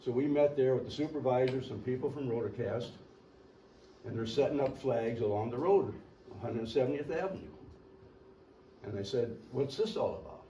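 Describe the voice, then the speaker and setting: Older guy rambling thru a local story, mellow low voice, echoey room mic from far off. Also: normal speed. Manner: rambling, folksy, clears throat often. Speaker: local resident speaking at a public meeting. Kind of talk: storytelling public comment. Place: community meeting room. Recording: echoey meeting room, distant mic, faint hiss.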